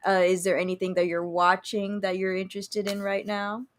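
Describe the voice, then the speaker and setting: A woman's voice: mid-range voice, completely flat delivery, moderate pace. A woman hosting an interview in an informal, chatty style. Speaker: interviewer, host. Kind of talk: casual interview chat.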